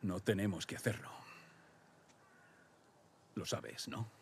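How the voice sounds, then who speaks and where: An actor playing a weary, guarded middle-aged survivor in a Spanish video game dub, with a Castilian accent and a restrained delivery. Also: male voice, low-pitched, steady tone, slow, a little hiss or hum. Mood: subdued. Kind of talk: dubbed video game dialogue.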